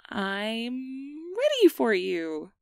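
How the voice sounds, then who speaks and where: A woman's voice: fairly high pitch, wildly swooping pitch, extremely slow, creaky, dry recording, studio quality, full character voice. A woman playing an awkward, guarded but secretly tender young changeling in an ASMR roleplay, hesitant and stammering as she downplays things. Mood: flustered, nervous.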